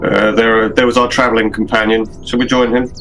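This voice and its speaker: Male player as courteous gentleman for tabletop role-play: fairly low, formal, light music in background. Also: flat delivery, fairly quick, low-quality recording. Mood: polite, inquiring. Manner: formal, polite.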